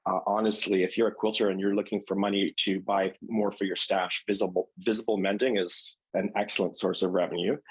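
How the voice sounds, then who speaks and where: A man's voice: lowish voice, breathy edge, low-quality recording. A man with a Canadian accent chatting casually as an interview guest, telling personal stories. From London, Ontario, Canada. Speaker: interview guest, quilter and teacher.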